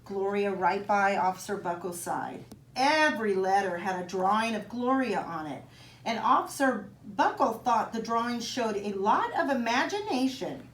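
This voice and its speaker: A woman reading a children's picture book aloud in an expressive, child-directed way, with chatty asides to young listeners. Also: medium pitch, moderate pace. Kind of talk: animated storybook read-aloud for children with casual asides. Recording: roomy echo, some background noise.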